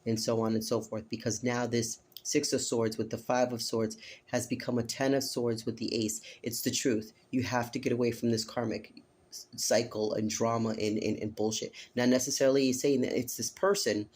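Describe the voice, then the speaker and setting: Hoarse man giving a flat-toned tarot reading. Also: medium pitch, normal speed. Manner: earnest, repetitive, addresses listener directly with "okay?". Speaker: tarot reader. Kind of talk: casual spoken tarot reading.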